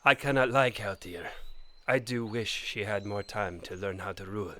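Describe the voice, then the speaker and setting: An actor playing a blunt, fiercely loyal seasoned knight in a fantasy audio drama, using formal archaic speech. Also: male voice, fairly low pitch, steady tone, normal speed, sharp tone. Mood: weary, apologetic.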